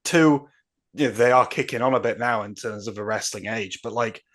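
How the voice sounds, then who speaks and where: A man's voice: on the low side, brisk, fairly bright tone. A man co-hosting a wrestling podcast, chatting casually and teasing his guests. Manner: joking, teasing. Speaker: podcast co-host.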